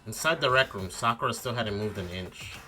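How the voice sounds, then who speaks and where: A man's voice: fairly low pitch, lively intonation, fairly quick, bright, forceful tone, faint music behind. A man voicing an earnest, anxious teenage schoolboy who narrates his own mystery in a visual novel read-aloud, with dramatic expression. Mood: tense narration.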